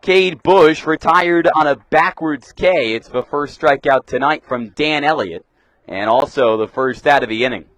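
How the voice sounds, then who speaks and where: A young man calling live baseball play-by-play for radio in a crisp announcer delivery, punching up the pitch calls. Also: unhurried, fairly bright tone, no echo. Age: young adult. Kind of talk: live sports commentary.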